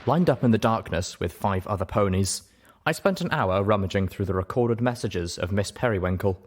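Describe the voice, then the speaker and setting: A man's voice: fairly low pitch, lively intonation, moderate pace. A man reading a scripted story aloud as dramatic audiobook narration. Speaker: audiobook reader.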